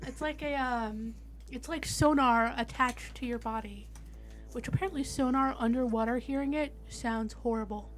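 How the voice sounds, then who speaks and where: A woman's voice: a little high, moderate pace. A playful, joking woman chatting casually and roleplaying as a player in a tabletop game. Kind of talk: casual banter and in-character roleplay.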